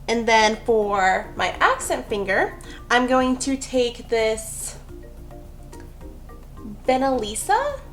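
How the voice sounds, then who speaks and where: A woman's voice: a little high, sing-song, slow, pauses often, fairly bright tone, soft background music. Chatty woman explaining nail art. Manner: chatty, rambling, self-deprecating asides. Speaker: nail art tutorial host. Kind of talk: casual how-to narration.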